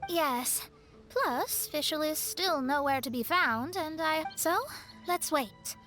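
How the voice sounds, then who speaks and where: High-voiced, self-assured young mage. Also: female voice, slow, fairly bright tone, faint music behind. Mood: confident, pondering. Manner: confident, articulate, explanatory. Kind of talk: video game voice acting.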